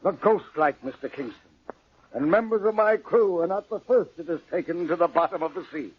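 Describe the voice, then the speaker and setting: A man's voice: on the high side, fairly quick, highs cut off, slight background noise, natural voice. A man playing an earnest, defensive sea captain in an old-time radio drama, speaking in formal nautical phrases. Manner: formal nautical speech with "aye".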